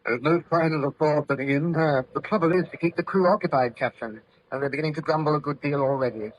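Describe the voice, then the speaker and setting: An actor playing a dutiful, worried ship's first mate in an old radio drama, deferential and husky. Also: male voice, on the high side, natural voice. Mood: dutiful, concerned. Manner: deferential, anxious, brisk. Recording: dull old radio recording.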